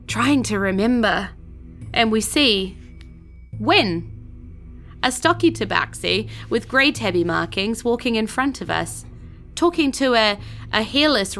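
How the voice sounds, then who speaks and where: A woman narrating a scripted fantasy audio drama in a deliberate, portentous storytelling manner. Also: fairly high pitch, sharp tone. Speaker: game master narrator.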